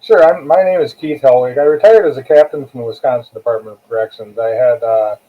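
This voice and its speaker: A man's voice: mid-range voice, fast talker. An older American man answering as an interview guest in a plainspoken, conversational way, repeating phrases for emphasis. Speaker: guest author, retired corrections captain. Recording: tinny, phone-like narrow-band call audio.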